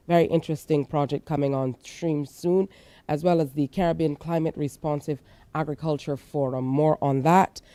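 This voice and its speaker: A woman with a Barbadian accent reads the news in a measured, clear anchor delivery. Her voice sits low and mellow, and the studio sound is dry and close. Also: no echo. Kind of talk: scripted news reading.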